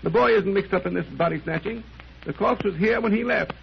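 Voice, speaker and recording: An actor playing a keen, confident detective with dry teasing wit, speaking briskly in 1930s radio drama style. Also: male voice, high-pitched, vocal fry. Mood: knowing, amused. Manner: brisk, musing, wryly condescending. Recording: muffled, noisy 1930s radio recording.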